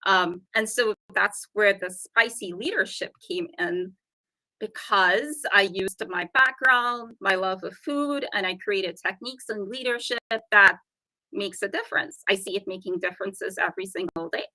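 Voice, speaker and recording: A woman with a softened Trinidadian accent, a guest talking earnestly and explaining her ideas in a casual interview chat. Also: mid-range voice, moderate pace, no echo, poor audio.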